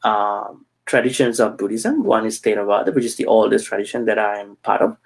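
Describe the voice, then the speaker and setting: Gravelly hesitant Sri Lankan-born monk. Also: male voice, on the low side, brisk. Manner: hesitant, many fillers. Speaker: Buddhist monk. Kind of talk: casual interview answers.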